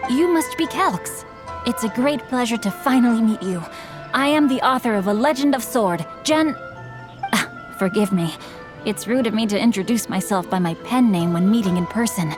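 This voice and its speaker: A woman voicing a polite, bookish young gentleman in a video game, speaking in a formal, courteous and refined manner. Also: on the high side, animated, moderate pace, music in the background, natural voice. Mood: courteous, awkward.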